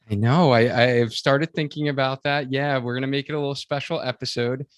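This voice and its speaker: A man hosting a podcast in casual, enthusiastic interview chat, speaking haltingly with lots of "like" and "you know". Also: medium pitch, steady tone, no echo, studio quality. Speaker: podcast host.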